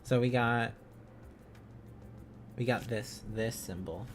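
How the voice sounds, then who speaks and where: A man's voice: mid-range voice, fairly even intonation, takes it slowly, music in the background. A man live-streaming a casual lesson, thinking aloud in a hesitant, rambling, playful way.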